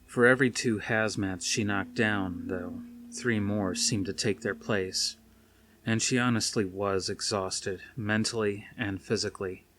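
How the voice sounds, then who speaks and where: A man's voice: on the low side, fairly even intonation, unhurried, a bit bright. A man reading aloud as an audiobook narrator, in a dramatic scripted style. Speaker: audiobook reader. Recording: faint noise behind the reading.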